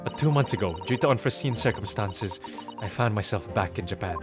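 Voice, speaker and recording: A man voicing an earnest, devoted young woman, a judicial assistant who speaks in a soft, formal, hesitant way. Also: on the low side, expressive, a little fast, pitched below the performer's own voice, lightly acted. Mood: wistful, resolved. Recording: music behind the voice.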